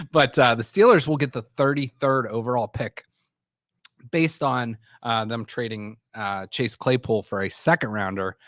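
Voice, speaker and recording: A man's voice: mid-range voice, unhurried, fairly bright tone, dry recording, clean studio sound. A man hosting a sports talk show, with a scratchy voice from a sore throat, rambling with frequent restarts. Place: small studio.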